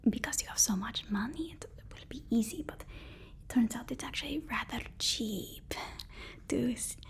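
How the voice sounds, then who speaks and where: Casting: a woman acting as a supervillain's loyal, jittery female aide for ASMR roleplay; higher-pitched whisper, halting, deferential and soothing. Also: expressive. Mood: nervous reassurance. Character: devoted, anxious female assistant to a supervillain.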